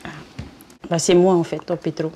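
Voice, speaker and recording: A middle-aged woman chatting at home, gently asking questions about the past. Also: lowish voice, little pitch movement, moderate pace, slight background noise. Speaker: family member asking questions. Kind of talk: casual chat. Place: indoors in a home living room.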